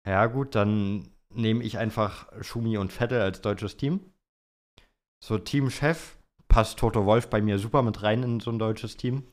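A man's voice: fairly low pitch, steady tone, a little slow. A young man co-hosting a podcast, chatting casually and answering listener questions in informal youth slang. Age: young adult. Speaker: podcast host.

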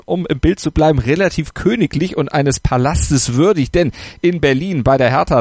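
A man hosting a sports podcast, moderating smoothly with small jokes. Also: mid-range voice, dry recording. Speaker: podcast host.

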